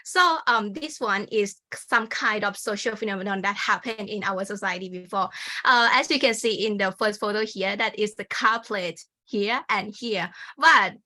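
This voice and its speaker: Bright-voiced Thai woman presenting. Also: mid-range voice, moderate pace. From Thailand. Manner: informal, many fillers like "like" and "kind of". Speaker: conference presenter. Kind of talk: academic presentation.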